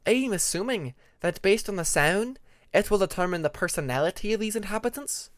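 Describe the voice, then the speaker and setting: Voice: medium pitch, lively intonation, a little fast, dry recording, clean studio sound, pitched above the performer's own voice, natural voice. A narrator voicing an inquisitive, puzzled dragon with a probing, questioning way of talking.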